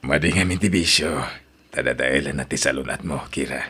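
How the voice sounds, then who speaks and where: An actor playing a mild, apologetic young husband who speaks hesitantly in an audio drama. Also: male voice, very deep voice, a little fast, sharp tone, husky, exaggerated voice. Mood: subdued.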